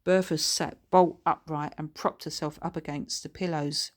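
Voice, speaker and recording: A woman's voice: low-pitched, fairly even intonation, normal speed. A woman reading her own children's book aloud as scripted narration.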